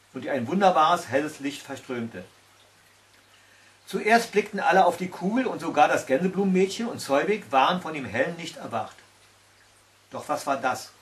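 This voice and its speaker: An older man tells a fairy tale, reading it aloud. His voice sits a little high for a man, and he is recorded in a small room. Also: a bit bright. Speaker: storyteller. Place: small indoor room by a tiled stove.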